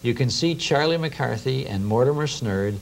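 A man's voice: mid-range voice, lively intonation, moderate pace, vocal fry. A middle-aged American man talking conversationally as an interview guest, reminiscing in a studio. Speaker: guest, radio show host. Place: TV studio at a round table. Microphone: lapel. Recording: faint hum and hiss, old TV tape.

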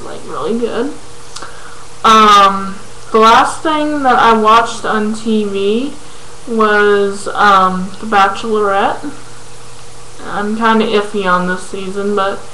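Young woman vlogger from California, slow halting webcam chat, light echo. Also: medium pitch, pushed and bright, slight background noise. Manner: hesitant, many ums, halting when reading aloud. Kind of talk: casual chat answering a questionnaire. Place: small bedroom indoors. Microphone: webcam at close range.

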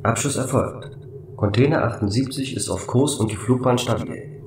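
A man voicing a calm, polite station computer in a German radio play, measured, formal and matter-of-fact. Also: medium pitch, close to an everyday voice. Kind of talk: radio drama acting. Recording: roomy, distant, faint noise.

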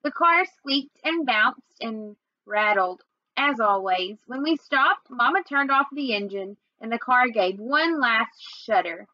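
A young adult woman reading a children's novel aloud in an expressive storytelling manner. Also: on the high side, slow, no room sound, highs cut off. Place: small indoor room at home.

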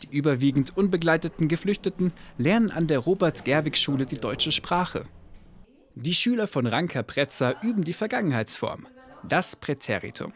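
A man narrating a news report as a calm, even voice-over. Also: fairly high pitch, normal speed.

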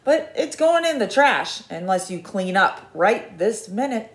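A kind, bookish young knight who talks politely and coaxingly, a touch higher than a plain voice, as a woman reading aloud puts him on. The delivery is slow and lilting, with a roomy echo. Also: very animated.

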